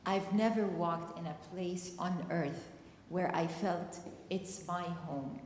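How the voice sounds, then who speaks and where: A woman's voice: fairly low pitch, slow, a little mellow. A woman from Palestine telling a personal story at a community discussion, emotional and close to tears. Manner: emotional, near tears, earnest. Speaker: panel speaker. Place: church hall. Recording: echoey hall, faint noise.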